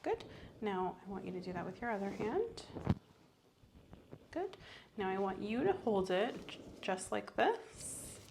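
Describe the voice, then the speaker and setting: Soft-spoken woman, creaky ASMR doctor voice. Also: mid-range voice, big pitch swings, measured pace, pauses often, background noise. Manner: soft-spoken, gently instructing and encouraging. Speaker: host playing a medical examiner. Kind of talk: ASMR medical exam roleplay.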